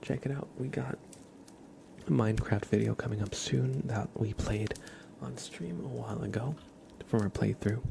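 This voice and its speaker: A man hosting an ASMR livestream in a soft, hushed whisper and low murmur, gently and unhurriedly talking to his viewers. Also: normal speed, creaky, background noise. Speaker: livestream host.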